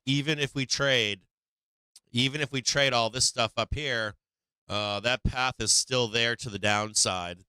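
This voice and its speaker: A man hosting a stock market livestream, walking through charts in casual live commentary, halting and restarting as he searches for the right words. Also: medium pitch, pushed and bright, clear, resonant tone, no echo, poor audio.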